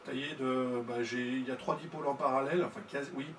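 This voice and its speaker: A man's voice: medium pitch, steady tone, fast talker. A man speaking as an amateur radio operator, chatting casually and explaining technical details in a hesitant way. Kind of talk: casual ham radio conversation. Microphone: studio-style mic through tube preamp. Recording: roomy sound with faint noise.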